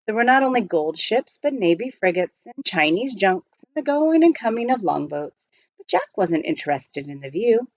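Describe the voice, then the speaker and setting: A woman reading a children's novel aloud in an expressive storybook narration style. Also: medium pitch, moderate pace, no echo, dull audio.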